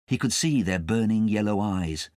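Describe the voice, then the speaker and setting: A man narrating a storybook as a scripted audiobook, with dramatic, expressive storytelling. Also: lowish voice, normal speed, no room sound, studio quality.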